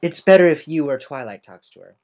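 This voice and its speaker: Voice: mid-range voice, animated, fairly quick, darkish tone, pitched above the performer's own voice, lightly acted. Someone doing a tough cartoon pony girl, kinda guarded and awkward, stop and start. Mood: uneasy.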